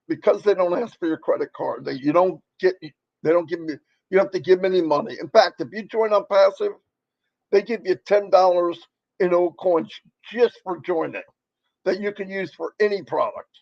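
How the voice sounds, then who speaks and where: A man with a crackly voice presenting on a live stream, giving a rambling, folksy motivational pitch and repeating points for emphasis. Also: creaky, dry recording, lo-fi sound.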